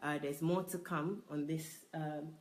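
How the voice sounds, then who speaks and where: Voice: medium pitch, fairly even intonation, measured pace. Reflective speaker leading a book talk. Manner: reflective, with frequent restarts and fillers. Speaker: book review discussion leader. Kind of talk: reflective personal sharing.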